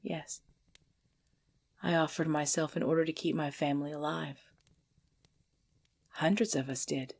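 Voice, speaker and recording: A woman's voice: low-pitched, steady tone, gravelly, husky, exaggerated voice. A woman voicing an ancient, possessive vampire lover in an intimate ASMR roleplay, speaking breathily and formally, often dropping into a whisper. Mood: somber, bitter. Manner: slow, formal, archaic phrasing, hushed.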